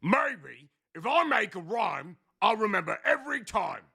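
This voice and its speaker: Storybook young shark: boisterous, very gravelly, high-pitched, big drawn-out exclamations. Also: male voice, sing-song, pushed and bright, full character voice. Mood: earnest. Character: young male shark, boisterous, over-excited, friendly schoolkid. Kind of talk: children's storybook read aloud.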